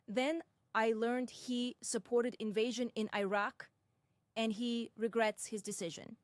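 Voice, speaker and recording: A young woman from Russia, narrating her own experience in a measured, deliberate way with frank asides. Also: a little high, steady tone, dry recording. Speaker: personal commentator. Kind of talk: first-person reflective narration.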